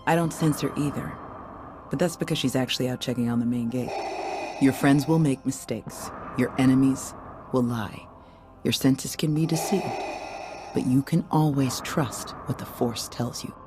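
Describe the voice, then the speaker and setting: A man playing a calm, encouraging Jedi mentor in a video game, assured and teacherly. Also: medium pitch, normal speed, lightly acted. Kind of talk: video game character acting. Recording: loud music behind. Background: game soundtrack music.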